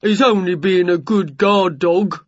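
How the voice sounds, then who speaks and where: Woman doing a simple, husky giant bloke next door. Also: fairly low pitch, measured pace, no echo, highs cut off. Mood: apologetic, puzzled. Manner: rustic, ungrammatical, politely deferential. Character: giant male neighbour, simple, apologetic dog owner.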